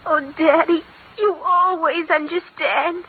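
A woman's voice: extremely high pitch, fairly even intonation, takes it slowly, slight background noise. A woman playing a sly little girl, sweet to her father and defiant to her stepmother, in 1940s radio acting. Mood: tearful. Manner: cries on cue, wheedling. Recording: tinny old radio broadcast.

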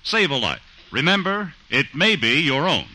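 A man's voice: fairly high pitch, very animated, normal speed, pushed and bright. A man announcing from a script in a mid-century radio style.

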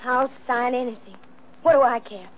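An actress playing a cold, defiant, greedy young murderess, brazen and scornful, in a 1940s radio mystery on an old recording. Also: female voice, a little high, normal speed, lo-fi sound, a little hiss or hum, lightly acted.

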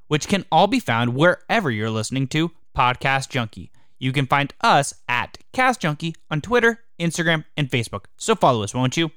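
A man's voice: on the high side, a bit bright, clean tone, no echo, studio quality. A man hosting a podcast review show, giving an upbeat scripted recommendation. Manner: upbeat read-from-script delivery.

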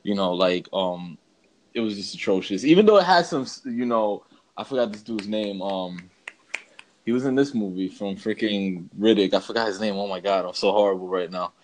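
A man's voice: on the low side, moderate pace, rough recording. A man co-hosting a podcast in casual chat, talking in a slangy, emphatic, rambling way. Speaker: podcast co-host. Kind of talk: casual chat ranking bad video game movies.

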